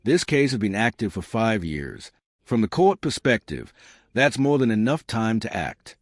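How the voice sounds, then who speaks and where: A man's voice: fairly low pitch, very animated, measured pace, no echo, clean studio sound. A man narrating scripted, dramatic commentary.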